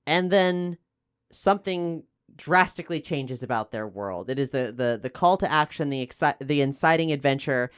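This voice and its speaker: A man's voice: fairly high pitch, normal speed, a bit bright, clear, resonant tone, dry recording, clean studio sound. A man hosting a casual podcast discussion, explaining things in a chatty, conversational way. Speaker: podcast host and player.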